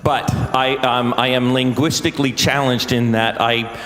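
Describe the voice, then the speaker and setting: A man leading a live church concert, talking to the audience in a joking, self-deprecating way, halting with many fillers. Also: medium pitch, steady tone, crowd noise. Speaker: choir director leading a singalong. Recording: roomy live recording with long church reverb.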